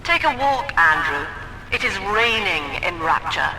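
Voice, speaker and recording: An actress voicing a calm, cold middle-aged woman psychiatrist who leads a cult in a video game, speaking in a measured, soft, controlled way. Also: fairly low pitch, noisy background. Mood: coldly gentle. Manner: measured, soft-spoken, controlled and coldly gentle. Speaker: game character. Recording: reverberant with music behind.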